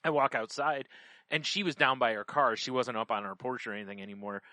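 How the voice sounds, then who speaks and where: A man's voice: mid-range voice, fairly quick, bright, forceful tone, no room sound. A man chatting casually as a podcast host, rambling through personal anecdotes and acting out bits of conversation as he goes. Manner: rambling, conversational, quotes himself and others.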